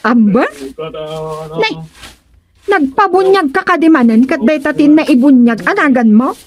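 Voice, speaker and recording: An actress as a curious village neighbor speaks in a high-pitched voice. She has an Ilocano accent and chats in the familiar style of radio comedy drama. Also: expressive, normal speed. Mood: brisk, bantering.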